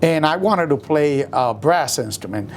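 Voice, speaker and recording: A man from Rico, a musician telling his story in an interview with many pauses and fillers. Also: a little high, lively intonation, a little hiss or hum. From Puerto Rico. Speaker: guest musician.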